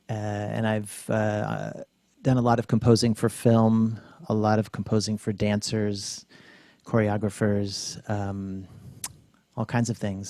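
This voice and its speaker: A man's voice: on the low side, dark tone, no room sound, clean studio sound. A man introducing himself as a musician on a group video call, choosing his words carefully. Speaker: composer and multi-instrumentalist.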